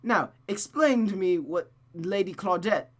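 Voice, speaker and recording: A performer voicing an angry, jealous, sharp-tongued woman in a visual novel. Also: mid-range voice, expressive, unhurried, voice pushed up. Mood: furious, accusing.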